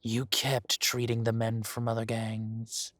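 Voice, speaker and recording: A man voicing a male dragon who poses as a mafia boss, speaking with slow, purring, mocking menace. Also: medium pitch, completely flat delivery, a bit bright, no echo. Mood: silky menace. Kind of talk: roleplay audio drama.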